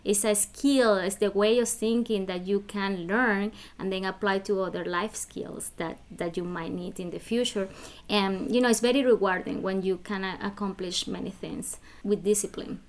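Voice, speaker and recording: A woman's voice: medium pitch, fairly even intonation, moderate pace. A woman from Venezuela with a Venezuelan Spanish accent, answering interview questions in a podcast, with many fillers and restarts. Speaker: guest.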